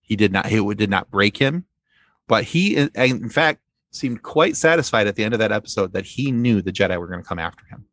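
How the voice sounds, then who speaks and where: A man as a podcast guest, chatting casually about fandom in halting phrases. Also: fairly low pitch, lively intonation, fairly bright tone, no room sound. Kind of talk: casual fan discussion.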